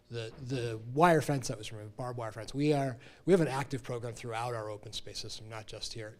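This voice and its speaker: A middle-aged man with a low voice answers questions from residents at a public meeting. He speaks into a handheld mic and explains things in a low-key way. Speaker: city open space official. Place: community meeting room.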